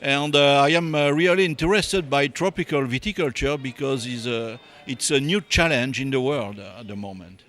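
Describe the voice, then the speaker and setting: A man's voice: mid-range voice, moderate pace, hardly any pauses, a bit bright. French-accented man, hesitant professor. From France. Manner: hesitant, many fillers. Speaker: viticulture professor. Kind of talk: conference interview.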